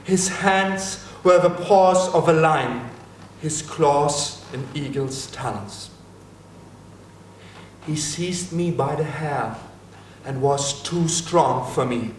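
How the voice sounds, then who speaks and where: A man reading the part of a fierce, troubled wild hero in a dramatic reading, slow and measured. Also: on the high side, a little hiss or hum. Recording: echoey room with faint ambience.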